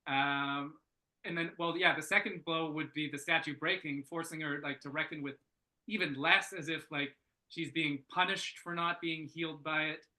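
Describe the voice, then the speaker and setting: A man giving casual workshop feedback, thinking aloud in a halting, informal and enthusiastic way. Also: fairly high pitch, steady tone, pushed and bright, poor audio. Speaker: script reader giving feedback.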